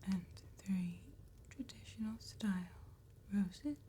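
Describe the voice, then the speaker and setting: A woman playing an attentive, courteous vampire tattoo artist in a slow, breathy ASMR whisper with deliberate pauses. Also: medium pitch, a little hiss or hum, close to an everyday voice. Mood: calm, attentive. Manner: slow, deliberate, gentle phrasing with long pauses.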